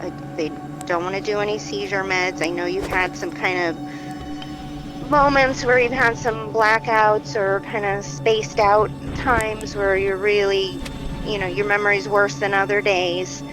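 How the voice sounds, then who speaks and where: A woman playing a calm, reassuring doctor who talks a patient through things with soothing, patient, halting everyday phrasing in an audio drama. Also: mid-range voice, over music, natural voice, low-quality recording. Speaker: therapist or doctor counselling a patient. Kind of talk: naturalistic fiction podcast dialogue.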